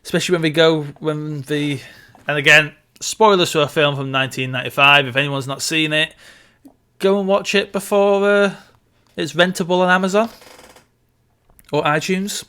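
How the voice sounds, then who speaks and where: Bright-voiced man chatting about films. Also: on the high side, steady tone, moderate pace, clean tone. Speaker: podcast co-host. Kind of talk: casual film discussion.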